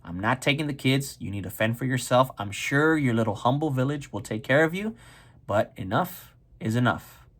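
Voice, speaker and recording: A man's voice: medium pitch, expressive, moderate pace, close to an everyday voice. A man voicing a cold, dismissive nobleman in a story, speaking in firm, curt phrases. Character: cold, dismissive nobleman abandoning his wife.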